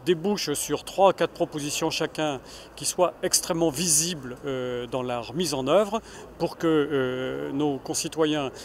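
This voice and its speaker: A man's voice: on the high side, unhurried, a little hiss or hum. A man giving an earnest, unscripted answer in an interview.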